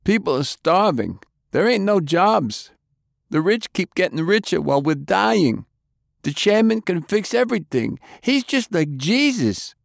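A man's voice: high voice, sing-song, unhurried, gravelly, no room sound, studio quality, pitched above the performer's own voice, full character voice. A man voicing a fanatical cult follower in an audiobook, preaching defiantly and taunting like a zealous thug. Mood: fervent zeal. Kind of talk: audiobook character acting.

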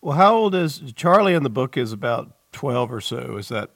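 Male podcast host, mid-pitched, friendly easy reads, dry close sound. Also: big pitch swings, normal speed, gravelly, fairly bright tone, dry recording. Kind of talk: scripted intro then casual interview.

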